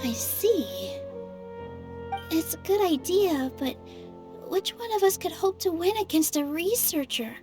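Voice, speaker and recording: A soft-spoken young dancer. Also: female voice, very high voice, big pitch swings, a little slow, over music, heavily acted. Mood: unsure. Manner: soft, hesitant, earnest. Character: young female theater dancer, gentle and self-doubting. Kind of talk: game cutscene voice acting.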